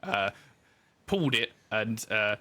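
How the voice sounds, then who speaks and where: A man's voice: on the low side, very animated, slow, sharp tone. A man telling his story in casual interview chat, informal and self-deprecating, as a podcast guest who designs games.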